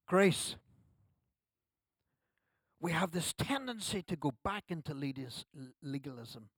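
A man's voice: fairly high pitch, sing-song, slow, a bit bright, slightly rough, no echo, studio quality. A man, preaching a sermon at a church service in a conversational way with self-deprecating asides. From Ireland. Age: 60s. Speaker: pastor.

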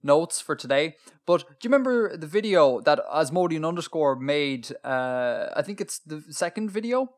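Guy podcasting, kinda high voice, rambly, lots of ums. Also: lively intonation, normal speed, hardly any pauses. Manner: chatty, self-deprecating, many ums. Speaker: podcast co-host. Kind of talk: casual chat.

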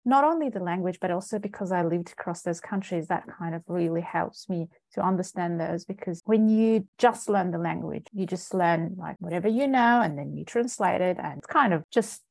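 A woman's voice: on the low side, normal speed, gravelly, few pauses, no room sound. A young adult woman, a native Azerbaijani speaker from Ukraine, speaking as an interview guest in casual conversation, hesitant with frequent fillers and restarts. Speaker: guest data scientist.

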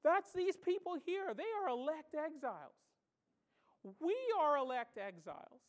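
Woman preacher from the Bahamas, high voice, emphatic sermon, lots of rhetorical questions. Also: sing-song, a little slow. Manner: repeats key phrases for emphasis.

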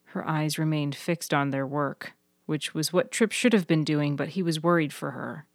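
A woman's voice: low voice, normal speed, dry recording, clean studio sound. A woman reading scripted fiction as the narrator of an audio story. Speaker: author and narrator.

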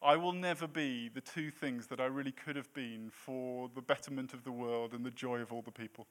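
A man's voice: medium pitch, fairly even intonation, a little fast, a bit bright. A man in his late thirties preaching a sermon in a church, with a self-deprecating, jokey manner between points. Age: 30s. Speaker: pastor.

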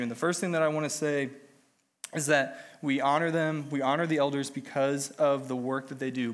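A man's voice: medium pitch, fairly bright tone. Man preaching with a head cold. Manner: conversational, self-deprecating teaching. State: has a cold, slightly nasal. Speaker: lay preacher. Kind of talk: sermon.

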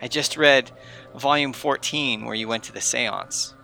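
A male tabletop player with a sharp, forward voice edged with fry. He talks in character off the cuff, halting and flustered. Faint music sits behind him. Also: mid-range voice. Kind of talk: improvised in-character game talk.

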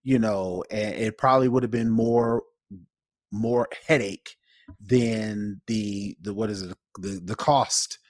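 Low-pitched man on a podcast, dry sound. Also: fairly even intonation, takes it slowly, darkish tone, dry recording. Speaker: podcast co-host. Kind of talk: casual opinionated commentary.

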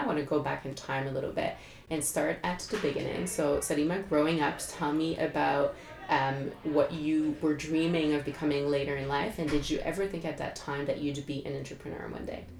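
A woman hosting a podcast, reading the sponsor message and asking slow, thoughtful questions. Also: sits low, fairly even intonation. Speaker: podcast host. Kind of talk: interview hosting and sponsor read.

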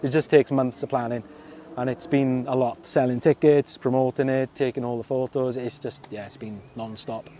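A man with a South Wales Merthyr accent talks in a loose, easygoing interview. His voice is mellow and dark, and he keeps dropping in little laughs, fillers and restarts. The pitch sits in an ordinary middle range for a man. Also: steady tone, normal speed, slight background noise. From Merthyr Tydfil, Wales. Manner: chatty, laughs often, many fillers and restarts. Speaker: fishing club secretary, guest. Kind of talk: casual interview chat.

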